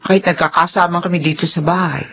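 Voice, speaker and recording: An actress playing a kind, conciliatory middle-aged mother in a radio drama, speaking in a gentle, placating way. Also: fairly low pitch, fairly even intonation, quick pace, a little hiss or hum. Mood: concerned. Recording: dull radio recording with music behind.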